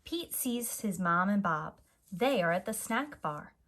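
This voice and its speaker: A woman reading a children's picture book aloud as a teacher, in a deliberate, animated way for young listeners. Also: medium pitch.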